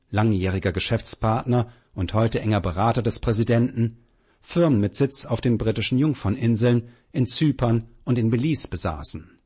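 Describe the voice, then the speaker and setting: Male narrator, medium pitch, husky edge, factual, measured, scripted documentary delivery. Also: darkish tone.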